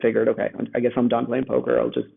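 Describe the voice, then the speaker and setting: A man's voice: mid-range voice, monotone, fast talker, gravelly, breathy edge. A man whose first language is Italian, speaking thoughtfully as a guest author, with many fillers and restarts, on a remote call. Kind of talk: conversational interview. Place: home in Rome. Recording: tinny phone-like remote call.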